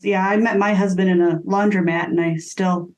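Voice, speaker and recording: A woman's voice: medium pitch, fairly even intonation, moderate pace, a little mellow. A woman co-hosting an interview in a casual, conversational style. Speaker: interview co-host.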